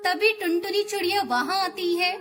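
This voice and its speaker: A woman's voice: extremely high pitch, fairly even intonation, normal speed. A woman reading scripted narration for a children's moral story. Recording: music bed under narration. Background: background music.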